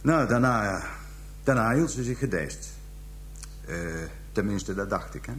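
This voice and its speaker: Kille, grofgebekte pooier met lage stem. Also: male voice, fairly low pitch, animated, fairly bright tone, a little hiss or hum. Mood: callous, scornful. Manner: halting, hesitant storytelling, coarse slang. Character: callous Dutch pimp and trafficker confessing to police. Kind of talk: radio drama acting, interrogation scene.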